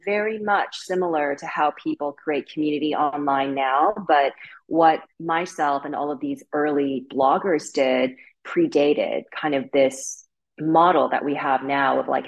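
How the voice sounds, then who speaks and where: A woman's voice: sits low, muffled recording. A Canadian woman in her thirties, a guest sharing her life story in a candid, casual way with lots of fillers. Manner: candid, many fillers like "like" and "you know". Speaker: interview guest.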